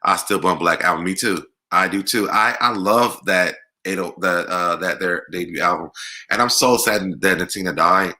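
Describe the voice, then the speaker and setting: An American man with a deep voice hosting a casual music Q&A, talking conversationally and repeating words for emphasis. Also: normal speed, sharp tone. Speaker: online show host. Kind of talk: casual live Q&A chat about music.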